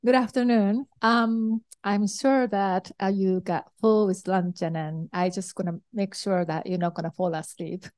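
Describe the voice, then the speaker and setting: A woman's voice: mid-range voice, steady tone, unhurried, hardly any pauses, darkish tone. A middle-aged woman with a Japanese accent, presenting to a conference audience as an investor, hesitant with frequent ums and restarts. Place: conference hall.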